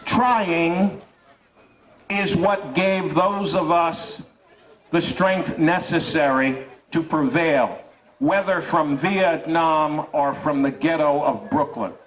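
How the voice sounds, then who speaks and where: A man's voice: on the high side, slow pace, fairly bright tone. A man who hosts a radio talk show, delivering a rousing speech to an audience with emphatic, repeated rhetorical build-ups. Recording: dull, distant recording.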